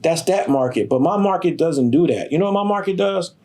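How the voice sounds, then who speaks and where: A middle-aged man, speaking in a slightly higher register than most men. He talks in a streetwise, emphatic and profane way, as in a documentary interview. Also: lively intonation, fairly quick, dark tone. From Detroit, USA. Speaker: sneaker store owner.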